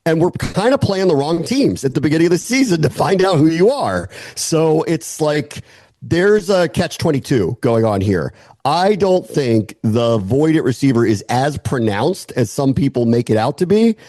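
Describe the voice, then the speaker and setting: A man's voice: a little high, very animated, normal speed, warm tone, no echo. An American man talking through football analysis as a guest, conversational and opinionated, often posing questions and weighing the answers aloud. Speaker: sports analyst, podcast guest.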